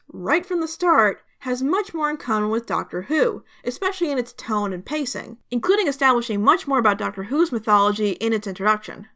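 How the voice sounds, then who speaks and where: A woman's voice: a little high, a bit bright. A woman hosting a review channel, giving scripted narration in a conversational, enthusiastic fan's manner.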